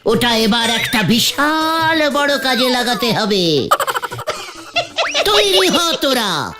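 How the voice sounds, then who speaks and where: A woman plays a boastful cartoon village layabout who rules his gang of idle boys. The voice is high and very animated, taking its time with pompous, stilted formal phrases, with music playing behind. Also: slow. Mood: gleeful, boastful. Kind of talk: exaggerated comic cartoon acting.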